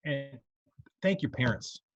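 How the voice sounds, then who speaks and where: A man's voice: medium pitch, expressive, unhurried, highs cut off. A middle-aged man from New York, an alumnus, speaking earnestly and reflectively in a rambling conversational way, full of "you know" fillers. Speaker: alumnus panelist.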